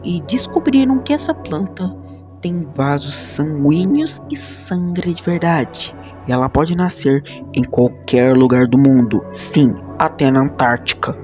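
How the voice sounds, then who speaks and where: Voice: mid-range voice, lively intonation, a little slow, a little mellow, soft background music. A Brazilian Portuguese speaker hosting a video essay, with dramatic, emphatic commentary that often poses rhetorical questions. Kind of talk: enthusiastic video commentary with scripted narration.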